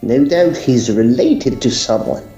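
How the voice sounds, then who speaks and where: Man playing a slow, unflappable Edwardian detective. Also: medium pitch, lively intonation, mellow, roomy sound, dull audio, faint music behind. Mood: dry, curious. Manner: deliberate, dry, understated. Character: unflappable, measured Edwardian gentleman occult detective. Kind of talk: tongue-in-cheek period audio drama.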